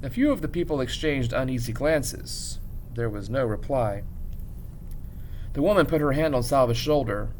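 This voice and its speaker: A man reading a story aloud as a teacher would, in an expressive, measured narration with dramatic pauses. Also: mid-range voice, slight background noise, poor audio.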